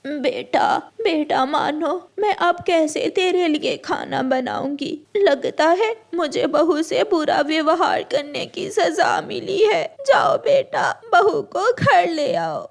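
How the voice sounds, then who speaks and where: A woman voicing a remorseful, tearful old mother-in-law bird in a cartoon, with a creaky, wailing delivery. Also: sits high, very animated, takes it slowly, few pauses, exaggerated voice. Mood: tearful regret.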